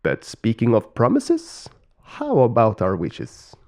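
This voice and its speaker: A man's voice: mid-range voice, wildly swooping pitch, takes it slowly, darkish tone, full character voice. A man voicing a vain, sulky male peacock in a storybook tale. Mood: questioning. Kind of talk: storybook character voice.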